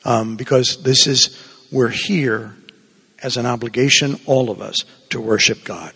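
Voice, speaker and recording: A man from Cuba preaching a homily in an unhurried, meandering way, with a gravelly, husky voice. His words ring out with a long echo through the church. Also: medium pitch, pushed and bright. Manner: conversational, digressive preaching with asides.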